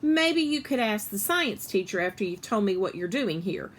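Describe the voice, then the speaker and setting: A woman voicing a calm, patient female school principal in a storybook, measured and gently pointed. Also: mid-range voice, slight background noise, close to an everyday voice.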